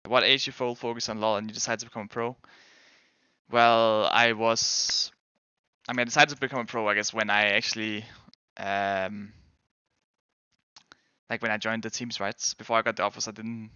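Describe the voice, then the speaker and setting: A man's voice: fairly low pitch, pushed and bright, clear, resonant tone, no room sound, highs cut off. A young man from Denmark with a Danish accent, streaming and chatting casually with viewers in a rambling, hedging way. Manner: rambling, hedging, self-correcting. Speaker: game streamer and pro player. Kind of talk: casual chat answering viewers.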